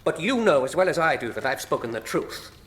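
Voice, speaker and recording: A high male voice rings in a big reverberant space as a pompous Victorian official. His delivery is stern, blustering and emphatic, swinging widely in pitch, in old-style radio drama fashion. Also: very animated, a little fast, a bit bright. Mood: stern, accusing. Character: stern, indignant, by-the-book Victorian official, a titled gentleman. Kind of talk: full-cast radio drama acting. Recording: reverberant hall sound.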